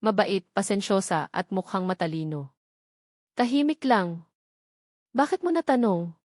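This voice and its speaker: A woman's voice: medium pitch, expressive, measured pace, a little mellow, dry recording, clean studio sound. A woman with a Filipino accent voicing a kind, casual younger sister in a romance story. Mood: casual, curious.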